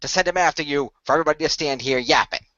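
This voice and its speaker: A man's voice: on the high side, very fast, sharp tone, no room sound. A man playing a sarcastic, exasperated god of the underworld in a fan radio play, talky and impatient. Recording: dull lo-fi voice recording.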